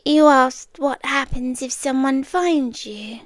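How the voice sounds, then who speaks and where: Woman acting a slow, high-voiced British yandere girlfriend. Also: no room sound, studio quality. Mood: wounded, adoring. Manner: slow, deliberate, coaxing, with pauses and whispered words. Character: obsessive, possessive yandere girlfriend, sweetly menacing young woman. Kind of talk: roleplay ASMR acting, intimate and soft with breathy whispers. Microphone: close, dry microphone.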